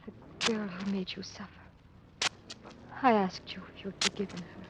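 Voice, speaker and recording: Through loud noise and dull old radio sound comes an anguished woman played by an actress, mid-pitched, pressing her questions intensely. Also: steady tone, moderate pace, lightly acted. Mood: insistent, anguished. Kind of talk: 1940s radio drama acting.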